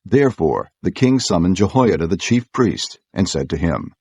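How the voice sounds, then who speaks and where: A man reads scripture as a narrator, in a low, husky voice. The delivery is formal and measured, and the sound is dry and close. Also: big pitch swings, darkish tone, dry recording.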